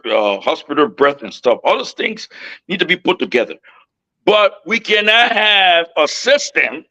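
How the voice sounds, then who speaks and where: A man's voice: high-pitched, bright, forceful tone, poor audio. A man arguing politics with passion and repeating his points for emphasis. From Liberia. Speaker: panel speaker. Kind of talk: heated political argument.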